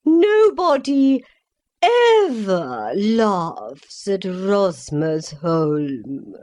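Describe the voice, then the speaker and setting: A woman playing a gloomy, solemn mother in a comic parody play, with a portentous, drawn-out melodramatic delivery. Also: mid-range voice, sing-song, darkish tone. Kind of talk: comic stage-play acting.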